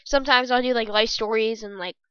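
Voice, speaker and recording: A young woman with a squeaky voice hosting a casual gaming podcast from her bedroom, rambling and joking as she talks. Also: fairly even intonation, a little fast, fairly bright tone, clear, resonant tone, no echo, lo-fi sound. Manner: rambling, jokey, self-correcting. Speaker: podcast host.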